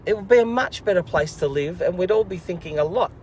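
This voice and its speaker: A man's voice: high-pitched, expressive, quick pace, darkish tone, a little hiss or hum. A man, hosting a children's learning program and chatting casually to camera in an upbeat, rambling, encouraging way.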